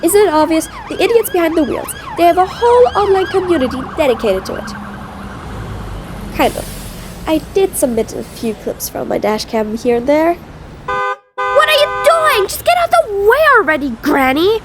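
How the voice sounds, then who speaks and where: Woman acting a high-voiced sardonic female supervillain. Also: very animated, normal speed, background noise. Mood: irritated, ranting. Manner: sarcastic, dry, exasperated. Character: mean but patient female supervillain, sardonic, grudgingly soft. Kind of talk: audio roleplay acting addressed to the listener.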